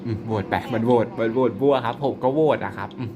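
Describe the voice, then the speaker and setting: A man's voice: medium pitch, fast, lo-fi sound, background noise. A man giving casual commentary on his mobile game, playful and jokey, tossing out mock-polite apologies and frequent exclamations. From Thailand. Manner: exclaims and laughs often. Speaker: mobile game player and channel host.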